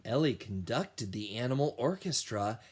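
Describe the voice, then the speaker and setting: A man who teaches children music, reading a picture book aloud in a deliberate, animated storytelling style. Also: mid-range voice, breathy edge. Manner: slow, deliberate storytelling with sung and imitated sounds.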